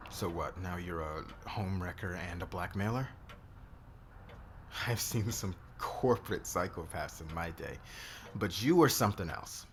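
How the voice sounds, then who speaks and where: A man playing a domineering, bitter boss in an ASMR roleplay, speaking deliberately with intimate menace. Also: sits low, lively intonation. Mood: stern, scornful. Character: domineering, bitter married male boss being blackmailed and seduced. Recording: clear background noise.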